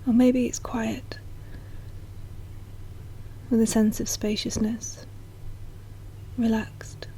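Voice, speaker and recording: A woman narrating a guided meditation in a soft, slow, soothing manner, as a guide speaking directly to the listener. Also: fairly high pitch, flat delivery, in a whisper, low-quality recording.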